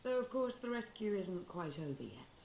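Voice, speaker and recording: An actress playing a composed, practical rescuer in a video game, articulate and dry. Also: female voice, medium pitch, very animated, moderate pace, darkish tone, roomy sound, a little hiss or hum. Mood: reassuring, purposeful. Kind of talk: video game cutscene voice acting.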